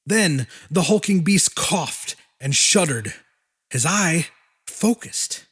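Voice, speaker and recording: A man narrating a horror audiobook in a dramatic, deliberate style with weighty pauses. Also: fairly high pitch, expressive, a bit bright, husky.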